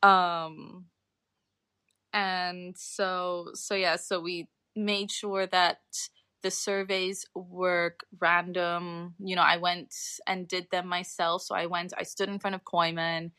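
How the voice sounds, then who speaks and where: Young female researcher as podcast guest: lower-pitched, bright forward voice, informal and explanatory, close dry sound. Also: little pitch movement, slow pace, dry recording. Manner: informal, explanatory, self-qualifying. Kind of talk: casual interview talk explaining research.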